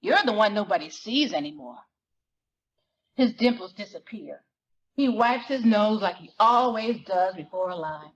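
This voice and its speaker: A woman performing a self-conscious, wry sixteen-year-old girl narrating her life in a slangy, expressive dramatic reading of a novel. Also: mid-range voice, a little slow, muffled recording, natural voice. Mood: fond, frustrated. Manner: slangy, expressive, conversational storytelling.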